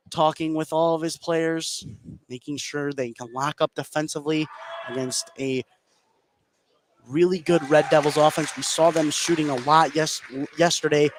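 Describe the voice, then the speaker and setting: A male announcer with a fairly high voice calls a live basketball game on the radio. He follows the action energetically in short, clipped phrases. Clear gym noise sits behind him. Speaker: play-by-play sports announcer. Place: indoor gymnasium at a live game.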